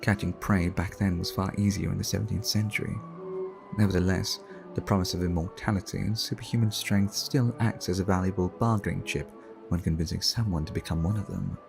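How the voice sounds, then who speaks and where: Male actor as courtly vampire art collector for ASMR roleplay: creaky lowish voice, formal, measured, flirtatious, music underneath. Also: fairly even intonation. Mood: refined, confiding.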